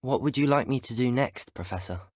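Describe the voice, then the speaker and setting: An actor playing a polite, resolute teenage student wizard in a video game, well-spoken with an English accent. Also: male voice, medium pitch, fairly quick, darkish tone, no room sound, clean studio sound, lightly acted. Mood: polite, inquiring.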